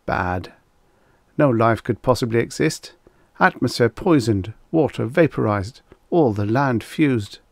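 A man's voice: mid-range voice, animated, a little slow, vocal fry, a little mellow, dry recording. A man voicing a grim, matter-of-fact spaceship captain in an audiobook. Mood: grim, resigned. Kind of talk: audiobook character voice.

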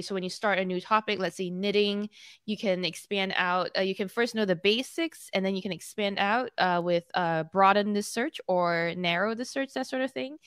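A woman's voice: medium pitch, fairly bright tone, clean tone, no echo. Young woman chatting tech news on a podcast call, bubbly, lots of likes and ums, dry sound. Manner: chatty, bubbly, many fillers. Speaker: podcast co-host. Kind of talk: casual tech news chat. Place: home room, indoors. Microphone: studio mic on a video call.

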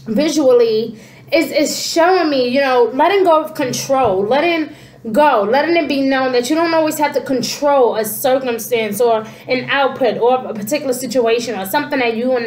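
Congested woman in her forties, high-pitched, casual reader to camera in a roomy-sounding small room. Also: normal speed. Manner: animated hand gestures, frequent "you know" and "okay". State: congested, stuffy nose. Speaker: tarot reader. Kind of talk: casual spiritual reading to camera. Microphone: camera at desk distance. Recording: echoey room.

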